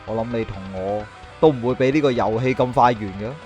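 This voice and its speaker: A man's voice: medium pitch, a little fast, a little mellow, highs cut off, faint music behind, close to an everyday voice. Man acting proud, obsessive Cantonese police superintendent. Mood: cold menace. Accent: Hong Kong Cantonese. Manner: theatrical, brooding, swinging to outbursts. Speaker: voice actor. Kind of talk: radio drama acting.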